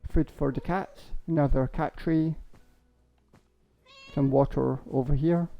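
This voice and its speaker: A young man with a sore, hoarse throat, rambling casually and laughing as he shows off a house in a game. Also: fairly high pitch, fairly even intonation, takes it slowly, faint music behind. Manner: rambling, chatty, laughs a lot, says basically often. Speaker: gaming streamer. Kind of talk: casual Minecraft house tour. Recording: dull lo-fi stream audio.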